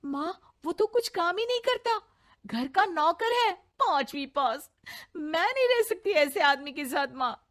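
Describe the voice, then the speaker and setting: A woman's voice: very high-pitched, animated, unhurried, fairly bright tone, voice pushed up, full character voice. A woman voicing a proud, outspoken young bride in a cartoon story, exclamatory and easily outraged. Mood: furious. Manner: exclamatory, indignant.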